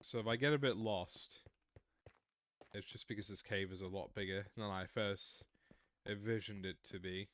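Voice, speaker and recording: Smooth-voiced young Australian guy streaming. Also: lowish voice, fairly even intonation, moderate pace, fairly bright tone. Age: mid-twenties. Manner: rambling, explains at length with restarts. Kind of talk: casual livestream chat while gaming.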